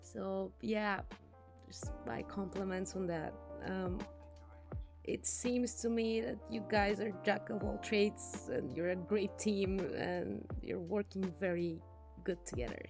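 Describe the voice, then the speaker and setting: A woman's voice: mid-range voice, steady tone, takes it slowly. A woman hosting a casual interview, informal and complimentary toward her guests. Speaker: interviewer, host. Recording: clear music behind the voice.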